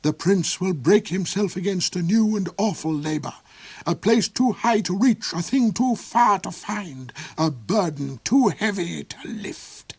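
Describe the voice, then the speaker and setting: A man's voice: high voice, lively intonation, unhurried, slightly rough, dry recording, pitched above the performer's own voice, heavily acted. A man voicing a cold, cruel middle-aged duke who mutters menacingly in a storybook reading.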